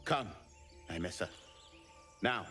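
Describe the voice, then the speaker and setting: An actor playing a contemptuous, battle-ready hunter in a video game, terse and commanding. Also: male voice, deep voice, expressive, slow, pushed and bright, big-room sound, faint music behind, full character voice. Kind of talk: video game dialogue acting.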